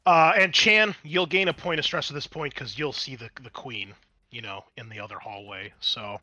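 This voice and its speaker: A man's voice: mid-range voice, normal speed, bright, forceful tone, dry recording. A man acting as game master, casually explaining rules and narrating a tabletop game.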